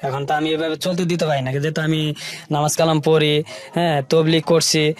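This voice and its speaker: A man with a Bangladeshi accent telling his life story as an interview guest, in colloquial, halting short phrases. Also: on the high side, little pitch movement.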